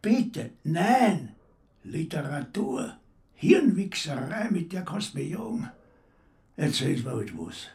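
A man's voice: fairly high pitch, very animated, slow pace, creaky, a little mellow, full character voice. An actor playing a bitter, sarcastic bedridden old man in a radio drama, mocking and blunt, with an Austrian accent. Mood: grumpy. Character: bitter, sarcastic, bedridden old male care-home patient.

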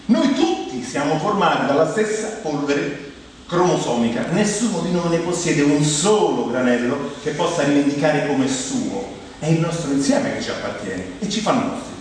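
A man's voice: on the high side, lively intonation, moderate pace, a little hiss or hum. A man from Rome with a Roman Italian accent, delivering a humorous theatrical monologue in a conversational, colloquial manner full of asides. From Rome, Italy. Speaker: stage monologist. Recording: very echoey hall, distant mic, music. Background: plucked guitar music.

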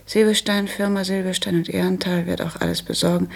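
An actress playing a dutiful, matter-of-fact widowed daughter in a German radio play, speaking in an earnest, measured way with a husky, creaky voice. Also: female voice, fairly low pitch, level tone. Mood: earnest, composed. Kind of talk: German radio play acting, letter monologue.

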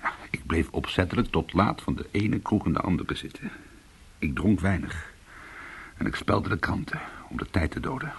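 An actor playing an anxious, aggrieved ex-convict trying to go straight in a Dutch radio play, with a husky voice and a delivery between subdued and agitated. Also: male voice, low voice, big pitch swings, normal speed, long pauses, full character voice. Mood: weary, tense. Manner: swings from subdued to agitated protest. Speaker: lead character and narrator.

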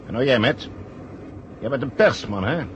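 An actor playing a sly, smug conspirator who calmly gives orders in a vintage radio play. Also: male voice, mid-range voice, normal speed, slight background noise, close to an everyday voice. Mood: commanding, smooth. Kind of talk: 1970 radio play acting.

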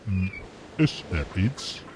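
A simple strongman from a children's radio play. The voice is extremely low and drags very slowly through clipped, broken phrases. There is clear noise behind it. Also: sing-song, exaggerated voice. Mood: gruff, blunt. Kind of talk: children's audio drama acting.

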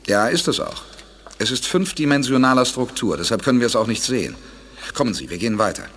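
A man playing a calm, authoritative space commander in a German audio drama, speaking in a composed, deliberate way and courteously probing for answers. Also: medium pitch, a little hiss or hum, close to an everyday voice. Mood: focused.

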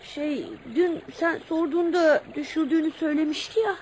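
An earnest young boy in a radio play, voiced by a woman. The voice is extremely high and pleads with loyal devotion. A faint noise sits on the recording. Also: normal speed, a little mellow, close to an everyday voice. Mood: hesitant. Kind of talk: Turkish radio theatre acting.

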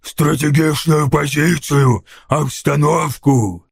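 A riled-up orc bully snarls crudely through a fantasy audiobook. The voice is a harsh growl, full of gravel and rasp, recorded dry. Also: male voice, on the high side, a little slow, a bit bright, dry recording, heavily acted. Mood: scornful. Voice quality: growling, raspy. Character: irritable, snarling male orc mechanic, easily riled bully.

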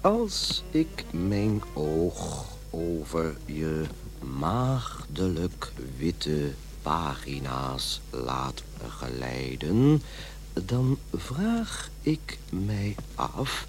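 A radio play character: a pompous, fussy would-be writer. He dictates slowly in a low voice that swings widely in pitch, muttering corrections to himself over a faint hum. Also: sing-song, heavily acted. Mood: pompous, hesitant. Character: fussy, self-important henpecked man writing his journal.

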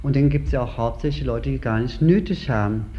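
A man lays out store theft procedures step by step in a plain, matter-of-fact way. His mid-pitched voice comes through a dull, lo-fi tape recording. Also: a little fast. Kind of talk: interview explanation.

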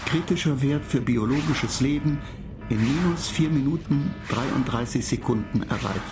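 An actor in a radio play voicing an impassive spaceship computer that makes clipped, monotone technical announcements. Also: mid-range voice, moderate pace, music in the background, close to an everyday voice. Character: impassive spaceship computer reporting faults and countdowns.

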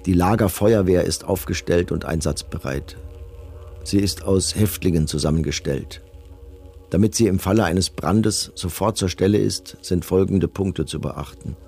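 Deep, husky, detached male official. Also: moderate pace, warm tone, soft background music, full character voice. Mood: detached, formal. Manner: formal, detached, bureaucratic. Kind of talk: scripted documentary radio drama reading.

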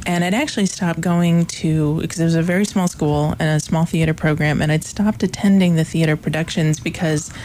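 A woman from Illinois, a theater professor, being interviewed on college radio; she says she has a loud voice and laughs between her thoughts. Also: deep voice, fairly even intonation, a little fast, hardly any pauses, a little hiss or hum. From Illinois, USA. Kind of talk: interview conversation.

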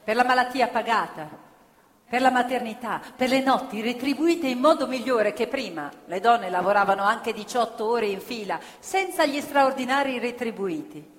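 A woman's voice: a little high, normal speed, a little hiss or hum. A woman narrating a stage play about factory workers with impassioned storytelling that slips into song. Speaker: theatre narrator. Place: theatre stage. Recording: live stage show with long reverb and music.

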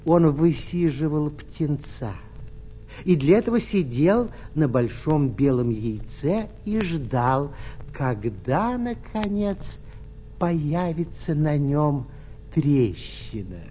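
Slow, mellow male storyteller. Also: fairly high pitch, dull audio, a little hiss or hum. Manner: expressive, deliberate phrasing with pauses. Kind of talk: scripted children's fairy tale narration.

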